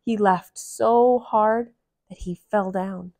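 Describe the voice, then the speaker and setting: A woman reading a picture book aloud to young children in a gentle, deliberate manner. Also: medium pitch, fairly even intonation. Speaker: children's storybook reader.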